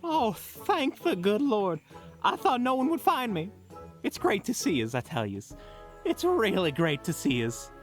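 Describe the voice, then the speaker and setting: A man playing a desperate, chatty male merchant in a fantasy audio roleplay, with a folksy, excitable, pleading way of talking. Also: high voice, a little slow, soft background music, exaggerated voice. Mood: flustered, relieved. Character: desperate, chatty male merchant who was just robbed.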